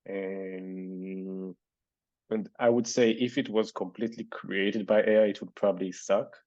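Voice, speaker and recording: A man's voice: low voice, steady tone, measured pace, darkish tone, no echo, dull audio. A man whose first language is Hebrew speaks English with a Hebrew accent. He hosts an online Q&A, thinking aloud hesitantly with many fillers. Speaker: event host reading audience questions. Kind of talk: casual online panel Q&A.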